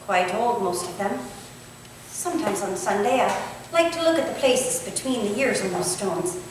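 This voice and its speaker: An actress playing a curious, modest young Victorian housemaid with a colloquial, deferential way of talking, in a staged reading. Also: female voice, mid-range voice, lively intonation, normal speed, close to an everyday voice. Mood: helpful, wistful. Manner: colloquial servant dialect, deferential. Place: indoor staged reading, distant microphone. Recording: echoey room from far off, faint noise.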